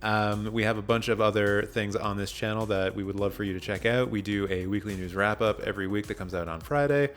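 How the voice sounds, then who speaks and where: A man's voice: lowish voice, steady tone, brisk, hardly any pauses, fairly bright tone, faint music behind. A man hosting a podcast, chatting casually about films in a jokey, self-deprecating way. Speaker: podcast host. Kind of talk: casual film discussion.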